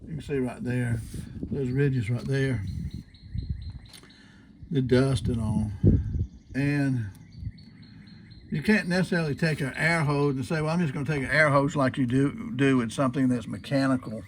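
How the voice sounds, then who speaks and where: A man's voice: mid-range voice, steady tone, moderate pace, pauses often, a little hiss or hum. Folksy man giving a hands-on demonstration. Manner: folksy, asks and answers own questions. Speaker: hobbyist demonstrating old radio restoration. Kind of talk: casual hands-on demonstration, unscripted.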